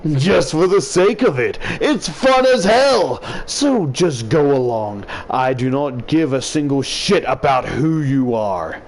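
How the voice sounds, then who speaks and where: A man voicing a cruel, smug teenage boy who bullies with slow, drawn-out taunts in a visual novel. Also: on the high side, big pitch swings, voice pushed up, heavily acted. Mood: cruel, gloating. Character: cruel, smug male teenage school bully.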